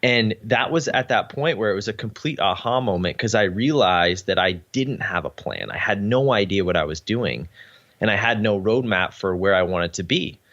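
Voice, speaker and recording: A man's voice: on the low side, expressive, normal speed, fairly bright tone, lo-fi sound. A young Canadian man, a podcast guest, talking earnestly in a casual interview with frequent ums. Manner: earnest, uses many ums.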